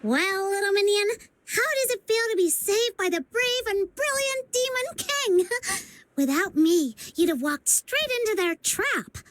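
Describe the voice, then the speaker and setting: Game role: boastful pint-sized female demon, very high, slow, cheeky and theatrical. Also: animated, a bit bright, no echo, full character voice. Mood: smug. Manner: smug, theatrical, whiny. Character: boastful, cheeky little self-styled demon king girl. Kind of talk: scripted video game acting.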